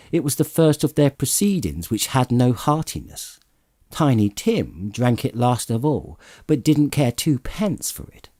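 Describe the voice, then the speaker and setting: A man narrating a story as an audiobook reader, in a measured, expressive storytelling manner. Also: mid-range voice, dry recording, clean studio sound.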